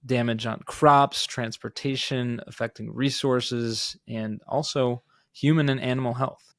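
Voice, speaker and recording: A man co-hosting a podcast, discussing startup news in a measured, analytical, semi-scripted manner. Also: mid-range voice, no echo, clean studio sound. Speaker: podcast co-host.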